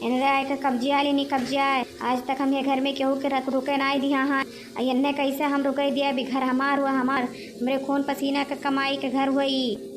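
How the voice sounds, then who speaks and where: A woman acts out a female witch ghost from a cartoon comedy, wronged and resentful. Her voice sits extremely high. She hammers her words home by saying them again. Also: level tone, normal speed, close to an everyday voice. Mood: angry, possessive. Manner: emphatic, repeats words for force. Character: aggrieved female witch ghost, murdered before her wedding. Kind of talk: animated comedy cartoon voice acting in dialect.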